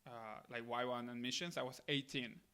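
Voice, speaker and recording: Bright-voiced young man from Argentina. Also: medium pitch, steady tone, normal speed, smooth. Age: late 20s. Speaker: podcast guest, missionary. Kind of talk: casual interview answers.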